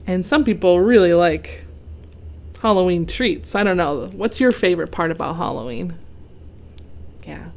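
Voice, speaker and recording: A young adult woman reading a picture book aloud to children, gently pausing to ask the listeners questions. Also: lowish voice, animated. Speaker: children's story reader. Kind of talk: read-aloud storytime for kids.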